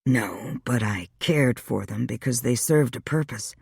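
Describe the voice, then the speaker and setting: A woman's voice: measured pace, gravelly, a little mellow, husky, no echo, exaggerated voice. Woman reading as a centuries-old vampire man. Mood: dry. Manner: low, dry, clipped replies. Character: centuries-old male vampire, brusque and teasing.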